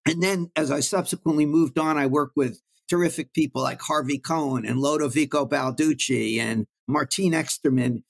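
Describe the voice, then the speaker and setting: A man's voice: on the high side, normal speed, creaky, hardly any pauses. Older man. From Brooklyn, New York. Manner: warm, anecdotal, reflective. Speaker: guest oncologist. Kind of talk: storytelling in an interview.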